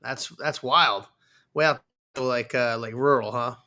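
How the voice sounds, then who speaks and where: A man's voice: medium pitch, measured pace, sharp tone. A man in his thirties hosting a podcast, chatting casually as he interviews a guest. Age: 30s. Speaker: podcast host.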